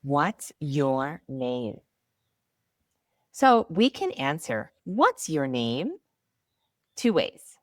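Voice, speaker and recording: Thirties woman, ESL teacher: low, animated voice, deliberate clear delivery, dry sound. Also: dry recording. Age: 30s. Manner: slow model phrases, bright and upbeat. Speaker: English teacher. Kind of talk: slow, clearly enunciated beginner ESL lesson.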